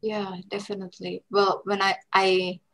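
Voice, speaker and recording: A woman's voice: mid-range voice, flat delivery, moderate pace, a bit bright. A young woman from Indonesia, a graduate student, answering earnestly on a video call through a headset. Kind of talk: panel interview answers.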